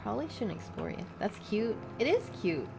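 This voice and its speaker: A woman's voice: medium pitch, very animated, fairly quick, creaky, music in the background. A woman live streamer giving casual game reaction commentary, musing aloud in short reactions.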